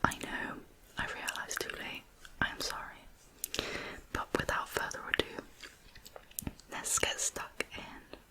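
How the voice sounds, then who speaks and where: A soft, breathy whisper with no voice in it, telling a true crime story for ASMR. The delivery is slow and deliberate, hushed from one phrase to the next.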